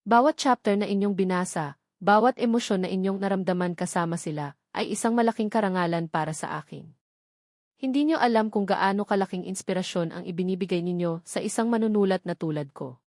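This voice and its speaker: A woman reading a scripted story as narrator, in an earnest, sentimental and measured dramatic style. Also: mid-range voice, darkish tone, no echo, studio quality.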